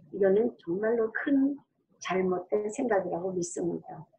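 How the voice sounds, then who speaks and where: A middle-aged woman preaching as a pastor in an earnest, conversational way, with a strong, loud voice. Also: medium pitch, muffled recording. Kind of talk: sermon with personal testimony.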